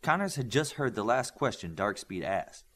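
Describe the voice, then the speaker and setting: Male storybook narrator, scripted read, animated intonation. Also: lowish voice, normal speed. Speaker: audiobook narrator.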